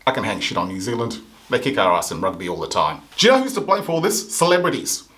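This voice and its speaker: A man's voice: on the low side, sing-song, fast, a bit bright. A man from Africa hosting a comedy show, delivering a satirical monologue with sarcastic, punchy mock outrage.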